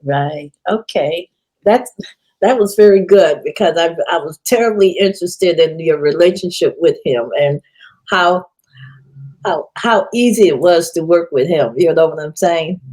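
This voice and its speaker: A woman hosts an interview, putting questions to her guest in a halting, restarting way. Her voice sits fairly low. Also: darkish tone, low-quality recording. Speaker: interview host.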